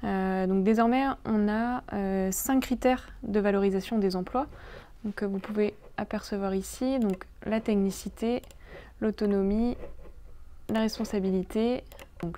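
A young woman presents a webinar, walking through material on screen. Her voice is soft-edged and mellow, at an ordinary female pitch. She speaks haltingly, feeling her way through the explanation with frequent pauses. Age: young adult. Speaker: presenter explaining labour agreement changes. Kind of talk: webinar slide walkthrough, semi-improvised explanation.

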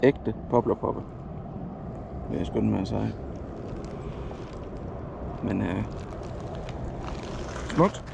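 A man's voice: lowish voice, gravelly, pauses often, heavy background noise. A man narrating his own fishing trip in a chatty, rambling way, recorded on a head-mounted camera outdoors at a lakeside. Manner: chatty, self-correcting, rambling. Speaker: fishing vlogger. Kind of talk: casual fishing vlog narration. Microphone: head-mounted action camera.

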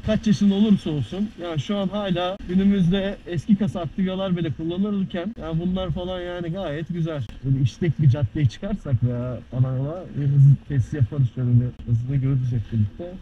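A man's voice: on the high side, fairly quick, few pauses. A man chatting casually as he rides a scooter through city traffic, explaining the bike as he goes. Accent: Istanbul Turkish. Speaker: motovlogger. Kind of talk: casual riding commentary. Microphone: helmet-mounted action camera. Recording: muffled action-camera audio with faint traffic noise.

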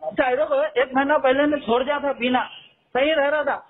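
A man's voice: extremely high pitch, steady tone, fairly quick. A man with a Hyderabadi accent explaining and reasoning in an informal phone conversation. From Hyderabad, India. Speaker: caller. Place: telephone call, played in a news upload. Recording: tinny phone call recording.

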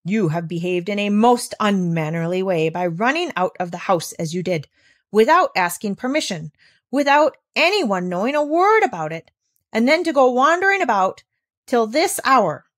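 A woman's voice: mid-range voice, animated, normal speed, creaky, few pauses, no room sound, studio quality. A woman reading aloud voices a stern, easily angered housekeeper who scolds shrilly. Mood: scolding.

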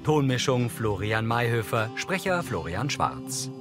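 A man narrates scripted audio description. His delivery is measured and neutral at a middle pitch. Music plays behind him. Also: big pitch swings. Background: background music.

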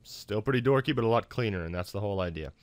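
A man hosting a casual software tutorial in an informal, self-deprecating, hesitant way. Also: fairly low pitch, expressive, fast, dry recording. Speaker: tutorial host.

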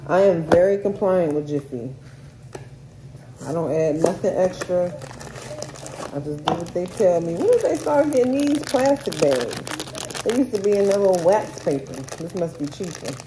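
A woman's voice: fairly low pitch, expressive, normal speed, noisy background. A woman vlogger, chatting casually to herself and her viewers while cooking in her home kitchen.

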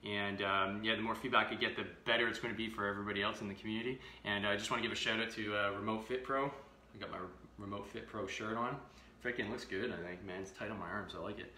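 A man's voice: fairly low pitch, fairly even intonation, quick pace, bright, forceful tone, a little hiss or hum. A man in his thirties casually demonstrating meal prep in his home kitchen, chatty and upbeat with lots of fillers, picked up by a camera a few feet away. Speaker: fitness vlogger. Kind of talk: casual live-stream demo. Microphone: camera mic from a few feet away. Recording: roomy kitchen echo.

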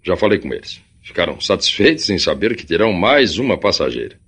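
An actor playing a courteous, reassuring middle-aged gentleman in a radio drama, formal, with a Brazilian accent. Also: low voice, sing-song, fairly quick, husky, full character voice. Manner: formal, correct diction.

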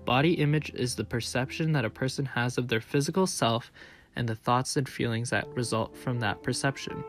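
Young man reading student documentary narration. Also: medium pitch. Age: young adult. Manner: even, scripted reading. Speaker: narrator and interviewer. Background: guitar music.

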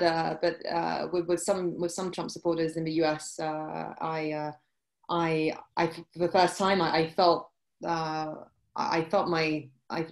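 A woman in her forties with a British accent, answering interview questions over a video call, halting with many restarts. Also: low-pitched. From UK and France. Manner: hesitant, many restarts and fillers. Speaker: guest, journalist. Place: indoor room, video call. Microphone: laptop webcam mic.

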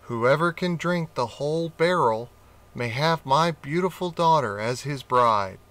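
Gorilla king, man voicing: proud, fair, grand delivery, bright tone. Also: fairly high pitch, animated, measured pace.

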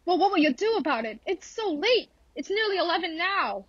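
Woman acting high-pitched frantic, demanding bedridden wife. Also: big pitch swings, fairly quick, fairly bright tone, dry recording, lo-fi sound, heavily acted. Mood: frantic, distressed. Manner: imperious, fretful, dramatic. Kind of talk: old radio thriller acting.

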